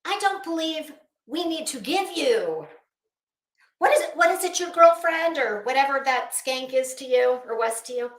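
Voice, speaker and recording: A woman's voice: high voice, lively intonation, moderate pace. A middle-aged woman giving an unscripted, rambling commentary rant in a sardonic, scornful, sweary manner. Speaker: online commentary vlogger. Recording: echoey room.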